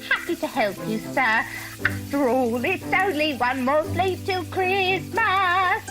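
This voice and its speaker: A woman's voice: sits high, expressive, takes it slowly, music in the background. A woman playing a feisty but kindly wife, in a radio drama. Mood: helpful. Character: feisty, kindly working-class London wife.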